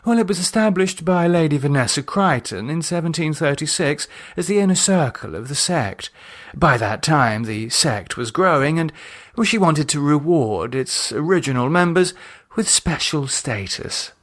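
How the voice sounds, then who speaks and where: Audiobook role: male reader as arch aristocratic female cult leader, refined, self-satisfied, very varied pitch. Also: animated, normal speed, fairly bright tone. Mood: proud. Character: poised, haughty aristocratic woman, playful cult leader. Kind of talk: audiobook character acting.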